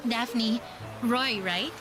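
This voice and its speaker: A woman's voice: fairly high pitch, extremely slow, loud music behind the voice. An actress playing a friendly, bashful young single woman in a radio drama, with a Filipino accent and chatty, playful delivery. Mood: coy, friendly. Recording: noisy background ambience.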